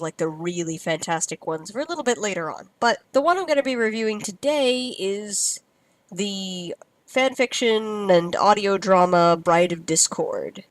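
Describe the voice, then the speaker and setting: A woman's voice: on the low side, no echo. A woman hosting a casual review, explaining things in an informal, tentative way. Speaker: fan fiction reviewer and host.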